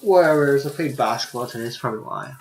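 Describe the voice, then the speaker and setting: A man's voice: medium pitch, lively intonation, fast, a little mellow, a little hiss or hum, rough recording. Tired, laid-back man reading aloud on stream. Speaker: live streamer. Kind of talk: reading a visual novel's translation aloud, with casual asides.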